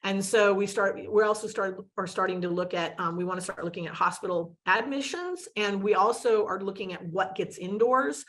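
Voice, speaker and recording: A woman's voice: mid-range voice, normal speed, hardly any pauses, a bit bright. A woman researcher giving a news interview soundbite, restarting phrases as she explains. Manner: thinking aloud, restarts.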